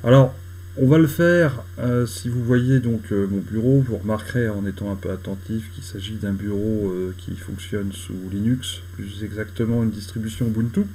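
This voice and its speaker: A man giving a casual screen-recorded software tutorial, talking slowly with many hesitant fillers. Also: lowish voice, a little hiss or hum. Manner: hesitant, many "euh" fillers, chatty and apologetic. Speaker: tutorial host.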